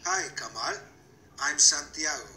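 A man's voice: fairly high pitch, lively intonation, a little slow, pushed and bright, slight background noise, heavily acted. A man voicing a crane operator in a scripted course-book dialogue, speaking plainly and conversationally. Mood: friendly. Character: male crane operator from a big city. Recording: echoey audio played back in a room.

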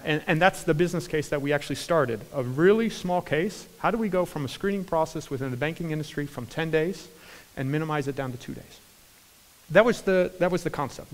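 A man in his forties with an American accent gives an energetic conference talk on stage, with frequent fillers and restarts. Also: a little high. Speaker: conference speaker. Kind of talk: keynote talk. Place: large conference hall stage. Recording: hall reverb from a large room.

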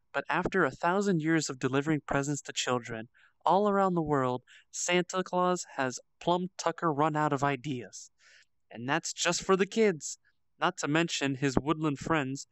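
A man's voice: fairly high pitch, a bit bright, clear, resonant tone, dry recording, poor audio. A man hosting a casual livestream in a social game, talking with friends and reading aloud. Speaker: streamer and host.

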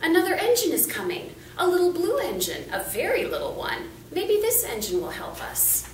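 Storybook toy clown, played by a woman: eager, high, swooping calls for help. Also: normal speed, echoey room, far from the mic, a little hiss or hum, full character voice. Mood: excited, hopeful. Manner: excited, calling out.

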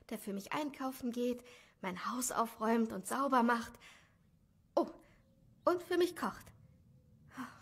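Lady playing a dreamy village mom in an audio play, bit husky, expressive and musing. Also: a little high. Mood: wistful, dreamy. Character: dreamy, proud village mother, longing for a finer life. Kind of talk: audio drama acting.

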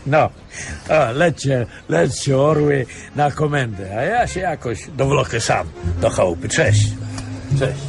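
An actor playing a world-weary, sardonic investigator in a radio play, wry and offhand, with small grunts. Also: male voice, mid-range voice, animated, a little slow, vocal fry, music in the background. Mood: wry, teasing. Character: sardonic, world-weary male veteran investigator advising younger detectives.

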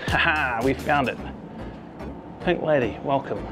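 A man in his forties with a New Zealand accent, excitedly tasting food and touring rooftop bars, filmed on a handheld camera. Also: mid-range voice, unhurried. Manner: enthusiastic, chatty, exclaims over food. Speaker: food vlogger. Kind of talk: casual food and travel vlog. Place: rooftop bars, outdoors and under cover. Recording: music playing behind.

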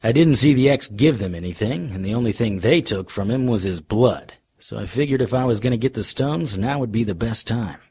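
An actor playing a wry, deadpan, hardboiled supernatural private investigator narrating a noir radio drama in a husky voice. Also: male voice, mid-range voice, fairly quick, few pauses. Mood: wry, watchful. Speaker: narrator and lead.

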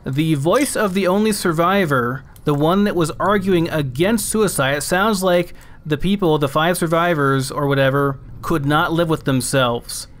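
Slightly high-voiced man musing over a game. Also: moderate pace, hardly any pauses. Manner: thinking aloud, musing. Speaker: let's play gamer. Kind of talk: casual game commentary.

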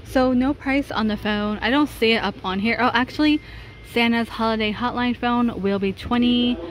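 Woman vlogger, chatty and giggly, slightly high voice, phone audio in a noisy store. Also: steady tone, moderate pace. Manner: chatty, laughs often. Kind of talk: casual shopping walkthrough. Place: large store aisle. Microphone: handheld phone camera.

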